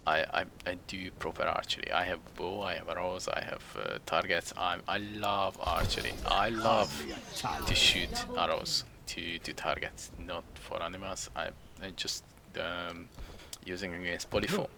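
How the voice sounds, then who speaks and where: A young Hungarian-accented man rambles through his gameplay commentary in imperfect English, often chuckling at himself. His voice sits low with a gravelly fry, yet has a bright, sharp edge. A faint hum of noise runs under him. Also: normal speed. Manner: rambling, chuckling, self-deprecating. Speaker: game streamer.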